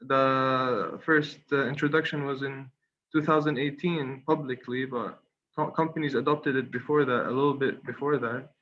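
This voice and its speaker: A young man from Qatar giving a webinar presentation as a guest speaker. He talks with many fillers, pauses and restarts, and polls the audience. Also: medium pitch, steady tone, fairly bright tone. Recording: slightly dull online call audio with faint noise.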